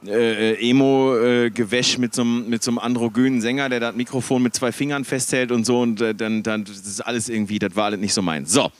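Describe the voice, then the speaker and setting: A man's voice: medium pitch, quick pace, hardly any pauses, dry recording. A man from the Ruhr area with a Ruhr German accent, telling long, rambling concert stories in a casual podcast chat and correcting himself as he goes. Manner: rambling, self-correcting, animated anecdotes. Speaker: podcast participant.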